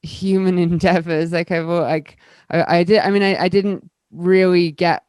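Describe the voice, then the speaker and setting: A young woman speaking as a panel guest, candid and stumbling, repeating words as she rushes ahead. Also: on the low side, steady tone, darkish tone, clear, resonant tone, no echo, studio quality. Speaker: panel guest, electronic musician. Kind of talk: panel discussion.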